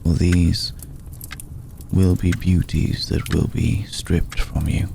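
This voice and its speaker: A man playing a courteous, brooding old vampire lord in an intimate ASMR roleplay, speaking in a measured, solemn, deliberate way with long pauses. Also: level tone, background noise, exaggerated voice. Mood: solemn, inviting. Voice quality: deep, gravelly. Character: courteous, brooding centuries-old male vampire lord, tender toward a mortal.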